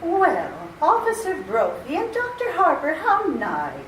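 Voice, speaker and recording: Woman playing a fluttery, very high old aunt. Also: wildly swooping pitch, a little slow, a bit bright, full character voice. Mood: fluttery, pleased. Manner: fluttery, genteel. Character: fluttery, sweet, macabre elderly spinster aunt. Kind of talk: old-time radio comedy acting. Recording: echoey, distant, faint noise.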